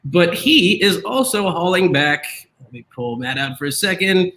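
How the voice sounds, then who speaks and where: A man's voice: high voice, moderate pace, echoey room, poor audio. A man hosting a casual online show, joking and laughing with his co-hosts. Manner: animated, joking, laughs often. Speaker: host.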